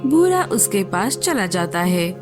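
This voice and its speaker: A woman's voice: medium pitch, big pitch swings, unhurried, music in the background. A woman giving scripted storybook narration for a cartoon.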